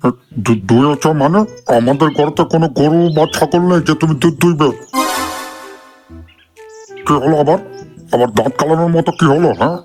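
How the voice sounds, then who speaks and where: A man's voice: a little high, brisk, long pauses, darkish tone, faint music behind, close to an everyday voice. A man voicing a suspicious, exasperated, foul-mouthed village husband in a comic cartoon. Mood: incredulous. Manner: incredulous, stammering.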